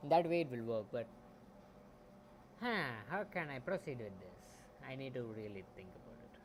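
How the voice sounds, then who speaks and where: A man streaming as a game developer, thinking aloud while he codes, often muttering and trailing off into soft asides, on a quiet home mic. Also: animated, normal speed. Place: home room. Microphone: desktop mic. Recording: faint background noise, low home mic.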